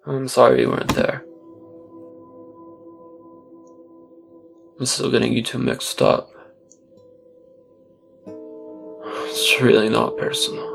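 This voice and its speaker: A man's voice: medium pitch, vocal fry. Man playing a rambling, defensive kitchen worker over music. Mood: wistful, haunted. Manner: halting, rambling, self-interrupting, filler-heavy. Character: rambling, defensive, disgruntled night-shift kitchen worker telling his story. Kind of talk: conversational dramatic monologue.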